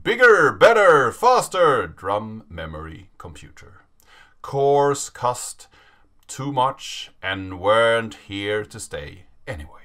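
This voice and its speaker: A man in his thirties giving a dramatic reading of a written story with deliberate, emphatic phrasing. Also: medium pitch, bright, forceful tone. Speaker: narrator reading a story aloud.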